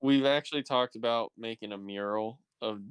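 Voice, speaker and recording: A man's voice: on the low side, moderate pace, smooth, dry recording. A man co-hosting a podcast in casual conversation. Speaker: podcast co-host. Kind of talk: casual chat.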